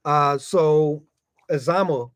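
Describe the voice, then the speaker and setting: An American man with a slightly high voice hosts a casual podcast. He speaks informally, circling back over his phrases and dropping in "you know" often. The sound is dry and close. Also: slow pace, dry recording. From United States. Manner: informal, frequently repeats himself and tags phrases with "you know". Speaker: podcast host.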